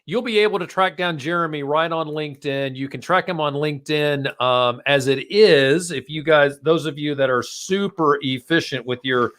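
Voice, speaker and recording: A man's voice: fairly high pitch, normal speed, few pauses, a bit bright. A man hosting a casual marketing podcast, chatting informally and speaking straight to his listeners. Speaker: podcast host.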